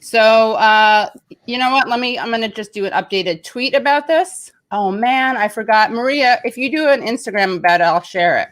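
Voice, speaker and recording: A woman's voice: on the high side, moderate pace, fairly bright tone. A woman hosting a casual online talk show, chatty and self-mocking, with a slightly nasal voice. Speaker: show host. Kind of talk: casual livestream banter.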